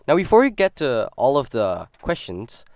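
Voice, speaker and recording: A man hosting a morning radio show, upbeat and friendly, with a lively, swinging pitch. His voice is smooth and clear, recorded dry and close in the studio. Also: moderate pace, no echo. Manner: upbeat and friendly, asking questions.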